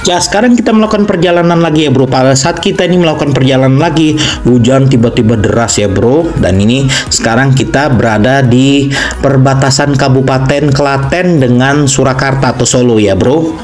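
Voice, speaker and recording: Male travel vlogger, casual and chatty, mid-pitched voice, music underneath. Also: a little fast, a little mellow. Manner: chatty, repeatedly addresses the viewer as bro. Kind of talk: casual road trip narration.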